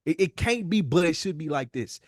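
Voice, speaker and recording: A higher-pitched American man argues his case in a casual, heated debate. He is emphatic and slangy, pressing each point like a lecture. The sound is dry and close. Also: lively intonation, a little fast, no room sound. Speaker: podcast co-host.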